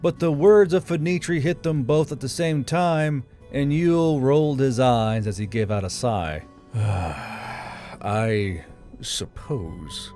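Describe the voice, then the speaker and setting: A man's voice: fairly high pitch, measured pace, mellow. Man narrating over background music. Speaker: narrator and channel host, amateur author. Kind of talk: audiobook narration and informal member shout-outs.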